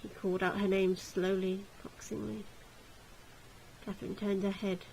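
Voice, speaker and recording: A woman's voice: mid-range voice, flat delivery, normal speed, gravelly, a little mellow, a little hiss or hum, low-quality recording. A woman reading a gothic visual novel aloud as narrator, in a dramatic storytelling style.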